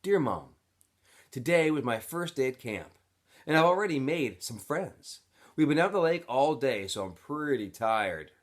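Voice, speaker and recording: A man's voice: mid-range voice, big pitch swings, unhurried, voice pushed up. A man voicing an earnest, weary teenage camp newcomer in a storybook, hesitant and placating. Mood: putting on cheer.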